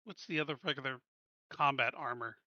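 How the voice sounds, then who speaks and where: A man with a very bright, sharp voice chats casually as a player in a tabletop game, stopping and restarting his sentences. The audio is dry and somewhat dull. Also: mid-range voice, no room sound. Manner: halting, casual, trailing off.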